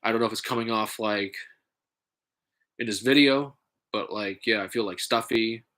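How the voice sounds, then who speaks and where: A man talks in a casual, halting way, full of fillers and restarts. His nose sounds stuffy and congested. His voice sits fairly low but has a bright edge. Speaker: vlogger. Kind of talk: casual rambling vlog.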